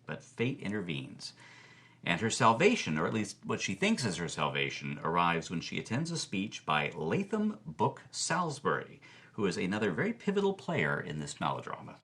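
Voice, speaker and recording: A man's voice: low voice, huge pitch swings, normal speed, a bit bright, heavily acted. A man playing a gossipy film-history expert, telling scandalous stories theatrically as a podcast guest and answering his own questions. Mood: dramatic. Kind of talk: animated storytelling in a podcast interview.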